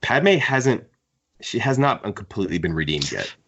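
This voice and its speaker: Very low male voice, casual podcast co-host, opinionated and wry, dull lo-fi recording. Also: very animated, moderate pace, a bit bright, breathy edge. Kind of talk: casual discussion of books. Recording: dull, band-limited audio.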